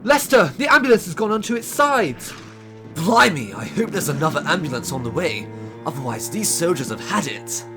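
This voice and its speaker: A man's voice: on the high side, very animated, normal speed, over music. A man playing an alarmed soldier in a theatrical First World War audio drama. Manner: urgent, theatrical. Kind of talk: period audio drama acting.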